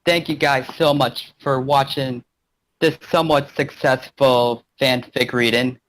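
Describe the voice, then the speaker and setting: A man with a medium-pitched voice works as host and narrator of an amateur fan fiction reading. His delivery is casual, and he sometimes trips over his words. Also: steady tone, a little slow, fairly bright tone, slight background noise, rough recording. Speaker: channel host and story narrator.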